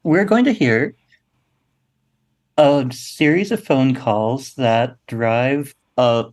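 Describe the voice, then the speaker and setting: A man with a medium-pitched voice talks as a guest writer in a relaxed interview. He is wry and gently self-deprecating, chatting informally. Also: extremely slow. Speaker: guest playwright. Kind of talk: casual interview chat.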